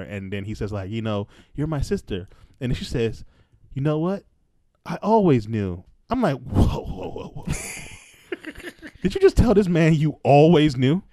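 A man's voice: mid-range voice, mellow, dry recording. A man bantering casually and crudely on a group podcast, repeating phrases for emphasis, close on the mic. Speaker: podcast host. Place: small room at home, around a table. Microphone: close podcast microphone.

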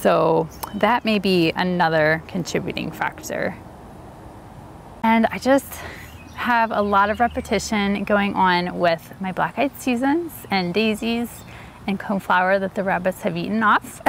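A woman's voice: mid-range voice, vocal fry, a little hiss or hum. A woman giving a chatty, enthusiastic walk-and-talk tour of her home garden outdoors. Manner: chatty, enthusiastic, light laughs. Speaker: garden vlogger.

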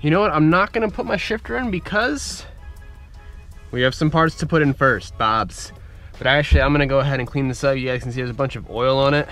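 A young man with a congested voice, chatting excitedly to viewers as he works on his car in a driveway, recorded on a head-mounted camera. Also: medium pitch, brisk, faint music behind. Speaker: car vlogger. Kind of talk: casual point-of-view vlog. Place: outdoors in a driveway, working in a car. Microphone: head-mounted action camera.

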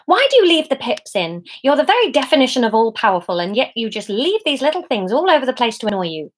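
A woman's voice: on the high side, sing-song, fast, a little hiss or hum, voice pushed up. A woman voicing a petulant devil in a storybook reading, churlish, complaining and easily irritated. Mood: needling.

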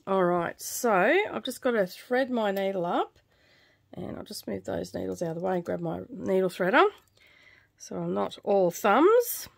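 Slightly croaky woman, casual. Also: mid-range voice, lively intonation, moderate pace, no room sound, studio quality. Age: middle-aged. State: recovering from covid, tired, dry throat. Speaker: craft vlog host. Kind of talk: casual stitch-along chat. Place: small indoor craft room.

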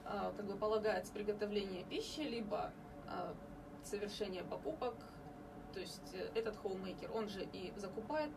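A woman from Ukraine talks through the details carefully, pausing on fillers as she goes. Her voice sits at an ordinary middle pitch and is picked up from across a small studio, with steady noise underneath. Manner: measured explaining with many hesitation fillers. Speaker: home care agency representative. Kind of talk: radio studio interview. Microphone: studio mic on boom arm, captured by far camera. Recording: distant camera audio with background noise.

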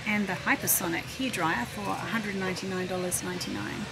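A middle-aged woman chats casually, thinking aloud as she narrates her shopping. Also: a little fast. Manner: chatty, thinking aloud while browsing. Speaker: shopping vlogger. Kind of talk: casual chatty shop-along narration. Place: large indoor warehouse store. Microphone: handheld camera, operator behind it. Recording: noisy warehouse store background hum. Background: loud store ambience and rumble.

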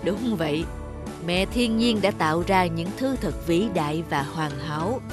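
Low-voiced, wise old tree spirit played by a woman. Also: animated, music in the background. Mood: wise, solemn. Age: older. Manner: measured, didactic, gently instructive. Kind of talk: animated fable dialogue, teaching.

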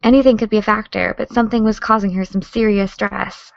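A creaky-voiced teenage girl acted by a woman leads an investigation in an audio drama. She talks briskly and with dry sarcasm. The sound is close, dry and slightly dulled. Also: medium pitch, fairly even intonation, no room sound, lo-fi sound, close to an everyday voice. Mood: focused, matter-of-fact. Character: determined, sardonic teenage girl podcaster investigating a case. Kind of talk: naturalistic audio drama dialogue.

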